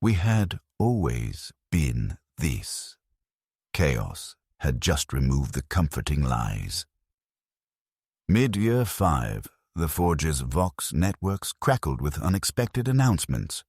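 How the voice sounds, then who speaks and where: An extremely low-voiced man delivers a scripted, somber first-person story. His tone is resigned and rough-edged, moving widely in pitch. The sound is dry and close. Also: sing-song, takes it slowly, a little mellow, dry recording. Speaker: narrator of a first-person science fiction story. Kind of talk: scripted dramatic narration.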